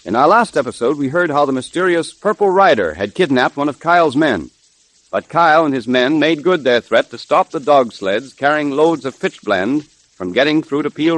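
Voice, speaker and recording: A man's voice: mid-range voice, no echo, low-quality recording. A man announcing and narrating a 1930s radio serial in an emphatic, salesmanlike scripted style.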